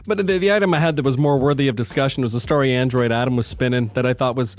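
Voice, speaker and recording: A man's voice: mid-range voice, fast, soft background music. Male radio co-host, mid-range voice, joking and conversational, speaking in everyday language. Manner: joking, colloquial. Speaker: radio morning show co-host. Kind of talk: casual on-air chat. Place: radio studio.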